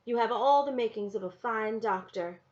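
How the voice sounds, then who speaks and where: A woman's voice: on the high side, moderate pace, a little mellow, highs cut off, natural voice. A woman reading aloud, voicing a worried, practical frontier mother who speaks firmly and matter-of-factly.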